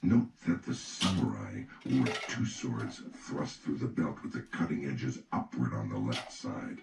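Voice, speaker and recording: A man reading scripted audiobook narration in a measured, instructive manner. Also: very deep voice, big pitch swings, gravelly. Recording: echoey, distant, noisy recording.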